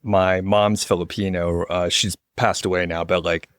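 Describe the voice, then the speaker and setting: Chill guy hosting a podcast, kinda low voice, dry close mic. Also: little pitch movement, normal speed, clear, resonant tone, dry recording. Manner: friendly, informal, uses fillers like "like". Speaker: podcast host. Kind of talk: casual interview chat.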